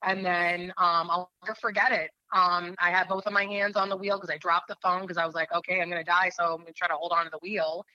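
A woman's voice: fairly low pitch, little pitch movement, fast, hardly any pauses, pushed and bright, dry recording, lo-fi sound. A woman in her thirties from New Hampshire, an attorney telling her faith story in an interview, earnest and rambling with many fillers.

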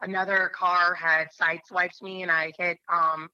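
A woman's voice: on the low side, little pitch movement, normal speed, pushed and bright, smooth, no echo, lo-fi sound. A woman in her thirties from New Hampshire, an attorney telling her faith story in an interview, earnest and rambling with many fillers.

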